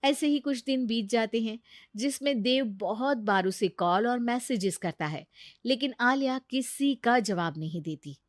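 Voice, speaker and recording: A woman's voice: on the high side, lively intonation, measured pace, no room sound, studio quality. A woman narrating a scripted story in a dramatic storytelling style.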